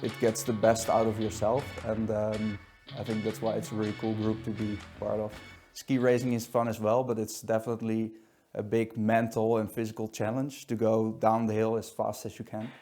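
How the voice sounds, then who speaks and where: A man, a ski racer speaking calmly and reflectively in a documentary interview. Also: fairly low pitch, moderate pace, soft background music.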